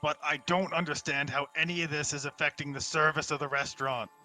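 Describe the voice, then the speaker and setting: Man playing a blunt adventurer, very bright voice. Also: on the high side, fairly even intonation, fast. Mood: impatient, sarcastic. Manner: blunt, dismissive. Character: blunt, impatient, unimpressed adventurer.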